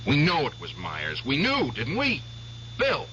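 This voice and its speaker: A man's voice: a little high, huge pitch swings, unhurried, exaggerated voice. An actor playing an obsessed, vengeful male Korean War veteran in a 1970s radio drama, intense and insistent. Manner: intense, insistent, swings loud and soft. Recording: dull old radio broadcast with hiss.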